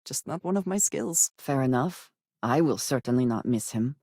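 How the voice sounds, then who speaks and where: An actress playing a guarded, wary woman companion in a space video game, with formal, measured phrasing and a dry manner. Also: very deep voice, animated, dark tone, no echo. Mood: measured, serious.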